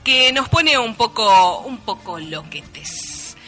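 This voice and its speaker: A Uruguayan woman with a Rioplatense accent co-hosts a casual radio chat, teasing and joking. Her voice is very bright and sharp at a middle pitch. A faint music bed sits behind her. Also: animated, takes it slowly. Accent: Rioplatense Spanish. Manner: teasing, playful interviewer. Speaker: radio co-host. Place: radio studio.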